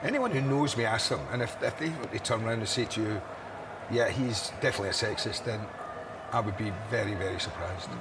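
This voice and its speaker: A man's voice: fairly low pitch, fairly quick. A man in his sixties, an interview guest, speaking candidly and earnestly, often repeating words as he gathers his thoughts. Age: 60s. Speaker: interview guest, former broadcaster. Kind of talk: candid storytelling. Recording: outdoor location, audible city noise.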